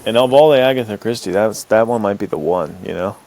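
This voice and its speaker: A man with a fairly low voice co-hosts a podcast. He talks in a casual, slangy way and sounds enthusiastic about the conversation. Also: fast talker, darkish tone. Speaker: podcast co-host. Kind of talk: casual chat.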